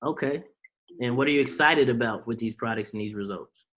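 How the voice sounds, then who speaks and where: A man's voice: mid-range voice, fairly quick, a bit bright, dull audio. A man hosting a team video call, talking in a loose, slangy way and firing off rhetorical questions to motivate the group.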